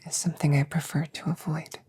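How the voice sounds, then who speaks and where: A woman voicing a gentle, stoic female android in an ASMR audio roleplay, whispering breathily with formal, precise, measured phrasing. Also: low-pitched, flat delivery, breathy edge. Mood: measured, clinical.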